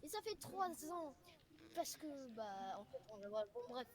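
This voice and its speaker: A female speaker improvising role-play chatter during a game, excitable and often repeating words. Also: sits high, unhurried.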